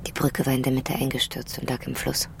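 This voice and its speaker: An actress in a radio drama playing a grieving woman who survived a bombing, telling it in a quiet, halting, hushed way. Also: extremely low pitch, flat delivery, vocal fry, slight background noise. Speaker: woman recounting a wartime air raid.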